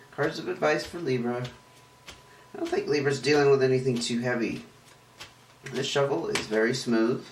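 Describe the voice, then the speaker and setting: Hoarse man reading tarot cards. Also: medium pitch. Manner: chatty, rambling, self-correcting. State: hoarse, voice rough and deeper from illness. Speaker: tarot reader and channel host. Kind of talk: casual tarot card reading to camera. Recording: roomy echo, distant mic, faint noise.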